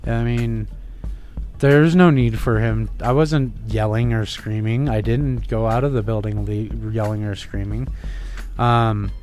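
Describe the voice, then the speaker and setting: Guy with a big forceful voice telling a story on talk radio, acts out the dialogue, faint music behind. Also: fairly low pitch, fairly even intonation, measured pace. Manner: powerful, forceful voice. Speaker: radio guest. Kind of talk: casual radio chat, storytelling.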